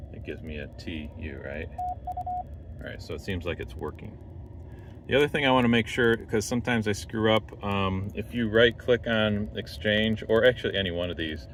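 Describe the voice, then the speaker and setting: Deep-voiced man over clear background noise. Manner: informal, step-by-step explaining. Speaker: amateur radio operator demonstrating contest setup. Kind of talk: casual unscripted tutorial, thinking aloud. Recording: noisy vehicle interior, clear background noise.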